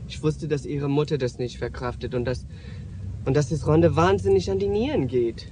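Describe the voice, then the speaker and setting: High-voiced, brash streetwise drag queen played by a man. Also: warm tone, a little hiss or hum, close to an everyday voice. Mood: frank, subdued. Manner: brash, slangy, quick-tempered. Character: streetwise, fiery Puerto Rican drag queen sex worker. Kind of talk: German radio play acting.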